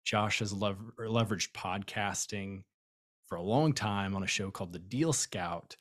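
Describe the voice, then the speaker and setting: Male podcast host, lowish voice; friendly, offhand delivery, studio setting. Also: a little slow, fairly bright tone, no echo. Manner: friendly, loose, many fillers. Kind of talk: casual interview chat.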